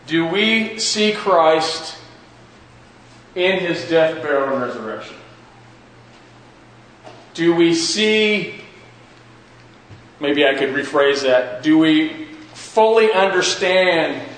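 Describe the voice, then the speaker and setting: A man's voice: a little high, fairly bright tone. A man teaching an informal church Bible class, repeating and rephrasing his questions for emphasis as he addresses the group. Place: large echoey indoor room with a class present. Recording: very echoey room with faint noise.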